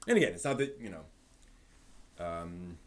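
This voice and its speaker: A man hosting a live stream with casual, rambling commentary, often halting and repeating his words. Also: sits low, sing-song.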